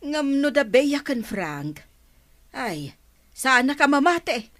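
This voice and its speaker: A woman's voice: high voice, very animated, slow pace, a bit bright, exaggerated voice. A woman with an Ilocano Filipino accent playing a curious, prying female relative in a radio drama.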